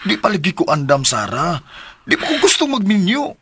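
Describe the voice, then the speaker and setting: High-voiced, wheedling young man. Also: wildly swooping pitch, moderate pace, music in the background, full character voice. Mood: defensive. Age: young adult. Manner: wheedling, insistent. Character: pleading, evasive, self-serving young adult son. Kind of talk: radio drama acting.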